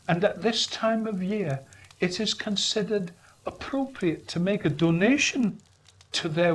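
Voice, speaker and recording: A man voicing a polite, earnest gentleman in a storybook reading, speaking formally and courteously. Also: high voice, slightly rough, pitched above the performer's own voice, full character voice, poor audio.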